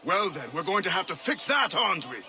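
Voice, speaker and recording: A man's voice: sits high, big pitch swings, a little fast, sharp tone, exaggerated voice. A man playing an indignant, domineering army officer in a video game, haughty and exclamatory.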